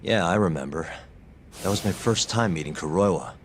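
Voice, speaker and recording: A man's voice: deep voice, lively intonation, slight background noise. An actor playing a cool, wry male private investigator in an English video game dub, dry and sardonic. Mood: calm, probing. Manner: dry, sardonic, unhurried questioning.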